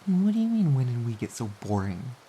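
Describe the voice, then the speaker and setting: Low-voiced, mellow, teasing romantic partner played by a woman. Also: very animated, brisk. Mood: mock-pouty. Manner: teasing, giggly, mock-pouty. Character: playful, teasing, affectionate romantic partner snuggling in bed. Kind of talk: intimate audio roleplay, soft casual pillow talk. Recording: faint background hiss.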